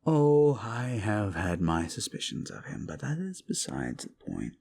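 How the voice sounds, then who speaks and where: A man voicing an unhinged, paranoid, possessive young prince in an audio drama, speaking softly and sometimes in a menacing whisper. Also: fairly low pitch, wildly swooping pitch, gravelly, heavily acted. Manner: soft, slow, often whispering, menacing. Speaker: voice actor. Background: background music.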